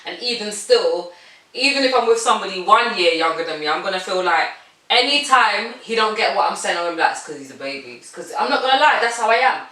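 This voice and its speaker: Blunt British woman, bright echoey voice. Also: medium pitch, fast. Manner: blunt, animated storytelling with slang. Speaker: podcast co-host. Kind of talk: casual chat. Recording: roomy echo, distant from the mic.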